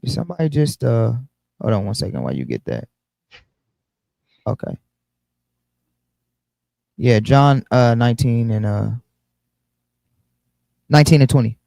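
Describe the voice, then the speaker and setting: A man's voice: medium pitch, big pitch swings, unhurried, creaky, long pauses, warm tone, dry recording, poor audio. A man teaching a casual bible study, often checking in with the listeners by asking "right?".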